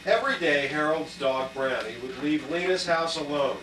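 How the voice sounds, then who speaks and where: High-pitched male narrator in echoey room. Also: animated, moderate pace. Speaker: scene narrator. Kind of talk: scripted narration for amateur puppet show. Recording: echoey room, distant mic, noisy.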